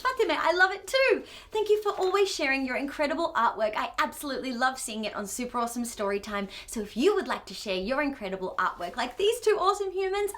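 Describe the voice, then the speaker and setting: A woman's voice: very high voice, a bit bright. A woman presenting a children's storytime show in an enthusiastic, exclamatory and encouraging way.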